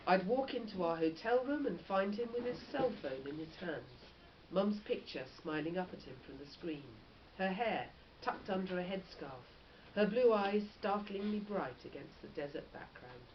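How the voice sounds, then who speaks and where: Animated woman reading a story, dull recording. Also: lowish voice, normal speed. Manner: animated, with short explanatory asides. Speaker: teacher reading to pupils. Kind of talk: expressive children's storybook reading. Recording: dull lo-fi audio with faint noise.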